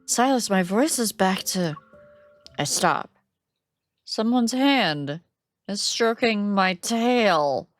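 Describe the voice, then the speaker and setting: A woman's voice: mid-range voice, no echo. A woman streamer reading a game aloud and reacting with playful, giggly commentary.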